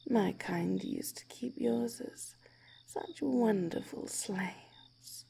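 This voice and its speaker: A woman voicing a cruel, hungry giant snake woman in a teasing ASMR roleplay, breathy and whispery, savoring and gloating. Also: medium pitch, dark tone, exaggerated voice. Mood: menacing, teasing. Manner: slow, teasing, gloating, savoring each phrase.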